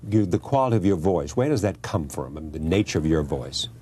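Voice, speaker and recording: Deep, mellow older talk show host. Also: male voice, animated, quick pace, husky. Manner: pressing questions, interrupts. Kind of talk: probing interview questions.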